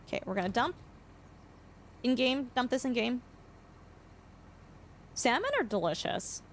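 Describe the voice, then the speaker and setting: Chatty young woman streamer, slightly hoarse. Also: a little high, expressive, unhurried, slight background noise. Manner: chatty, explains facts with many fillers. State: voice going hoarse. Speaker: streamer playing a farming game. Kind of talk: casual live-stream chat.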